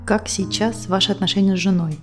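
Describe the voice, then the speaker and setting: A woman's voice: medium pitch, steady tone, brisk, natural voice. A woman in her thirties playing an attentive psychotherapist in an audio drama, speaking naturally and with care. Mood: attentive, probing. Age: 30s. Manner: attentive, gently probing. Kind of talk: therapy dialogue in an audio drama. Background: music.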